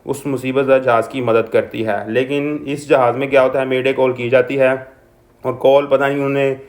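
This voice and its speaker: A man gives an informal explanation in a mid-pitched, fairly flat voice. He goes over his points again in other words as he presents, checking in as he goes. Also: normal speed. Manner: explanatory, repeats and rephrases points, often checks in. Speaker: presenter explaining a news topic.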